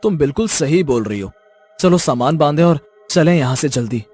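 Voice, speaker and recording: A man's voice: a little high, expressive, normal speed, a little mellow, breathy edge, soft background music. A man playing distrustful, alarmed village men in a cartoon, with emphatic and urgent dramatic delivery.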